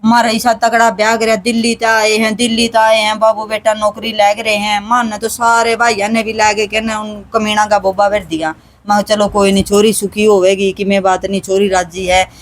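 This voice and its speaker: Emphatic rustic Haryanvi woman, mid-pitched. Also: flat delivery, normal speed. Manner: emphatic, repeats phrases, rustic speech. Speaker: aggrieved relative being interviewed. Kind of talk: unscripted village interview testimony.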